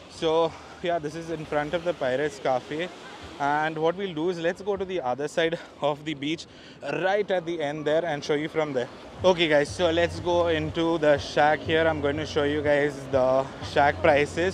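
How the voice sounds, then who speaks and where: A man's voice: fairly high pitch, a little mellow. A man vlogging a walking tour of a beach, chatting casually to his viewers with plenty of fillers. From India. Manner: chatty, addresses viewers as guys, many fillers. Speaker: travel vlogger. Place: outdoors on a busy beach. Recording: outdoor noise behind the voice.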